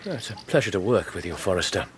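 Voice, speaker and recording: A keen Victorian gentleman detective in a radio drama, a man with an English accent. His voice sits fairly low and moves with great animation. He is courteous and exact, with a dry theatrical wit. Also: big pitch swings, quick pace, slight background noise. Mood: brisk, analytical. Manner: precise, theatrical, wry.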